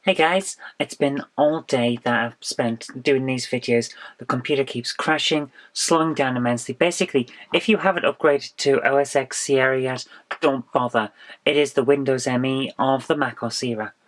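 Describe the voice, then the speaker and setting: A woman's voice: a bass voice, normal speed. A woman vlogging from a small home office, her voice stuffy and bunged up from a cold. She talks in a loose, rambling way, circling back with fillers and restarts. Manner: rambling, chatty, with frequent ums and restarts. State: congested, bunged-up voice from illness. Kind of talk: casual vlog chat.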